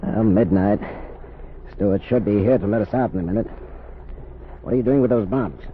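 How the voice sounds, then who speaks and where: An actor playing a gruff male saboteur in a 1930s radio drama. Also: lowish voice, fairly quick, a little hiss or hum, natural voice. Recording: tinny old radio recording.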